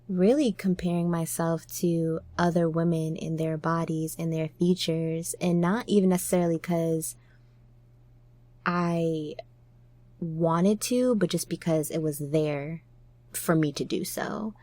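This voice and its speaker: A woman's voice: lowish voice, fairly even intonation, slow pace, warm tone, no room sound. A woman hosting a podcast, talking in a casual, reflective way. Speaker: podcast host.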